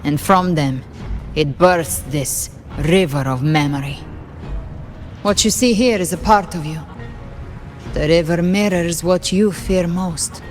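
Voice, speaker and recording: Solemn female mystic guide, fantasy game, low voice, slow measured delivery, grave calm. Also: soft background music. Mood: solemn, explanatory. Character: solemn, knowing woman guide of lost souls. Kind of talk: dramatic fantasy game acting.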